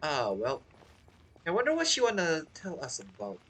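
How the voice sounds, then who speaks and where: A woman's voice: sits low, huge pitch swings, moderate pace, background noise. A woman giving casual, playful commentary on a video game as she plays, reacting aloud to the characters. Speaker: game streamer.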